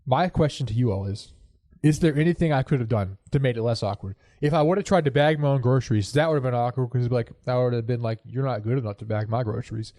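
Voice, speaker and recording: Congested, mellow man hosting a podcast. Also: medium pitch, fast, dry recording. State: congested from a cold. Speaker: podcast host. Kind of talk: casual chat and anecdote storytelling. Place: living room corner home studio.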